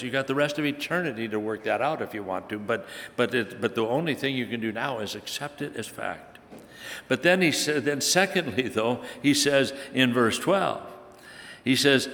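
A man from Hungary, preaching a sermon with halting restarts and emphatic scripture quotes. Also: mid-range voice, a bit bright. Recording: long hall reverb.

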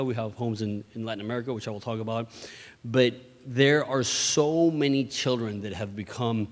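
A man from Mexico who leads a church missions ministry, giving an earnest presentation with frequent restarts and repeated words. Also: medium pitch. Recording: long hall reverb.

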